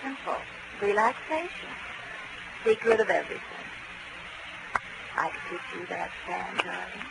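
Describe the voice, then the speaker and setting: An actress playing a flirtatious, breezily amoral carnival daredevil with an airy, teasing manner in a 1940s radio crime drama. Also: female voice, mid-range voice, expressive, a little slow, creaky, long pauses. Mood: breezy, flirtatious. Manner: coy, airy, nonchalant, teasing. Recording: noisy old 1940s radio broadcast.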